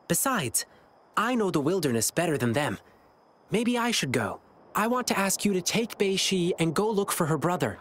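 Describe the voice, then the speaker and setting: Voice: medium pitch, lightly acted. An actor voicing an earnest, spirited, boyish youth in an anime video game, speaking with care. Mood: earnest, caring. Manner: earnest, caring.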